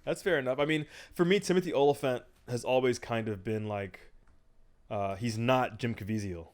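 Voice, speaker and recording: A man's voice: medium pitch, moderate pace. A man co-hosting a podcast in loose, conversational chat, enthusiastic about his favourites. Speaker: podcast co-host. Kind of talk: casual chat about movies.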